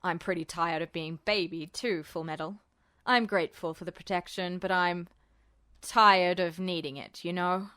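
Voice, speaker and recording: A woman voicing a self-assured adult male army colonel in measured, coaxing dialogue for a fan fiction audiobook. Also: lowish voice, fairly even intonation, pushed and bright, clear, resonant tone, pitched below the performer's own voice. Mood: determined.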